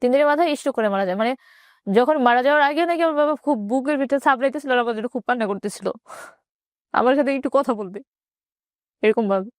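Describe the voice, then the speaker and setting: A woman with a Bangladeshi accent telling her personal story in an interview, haltingly and with repeated phrases. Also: high-pitched, no room sound, studio quality.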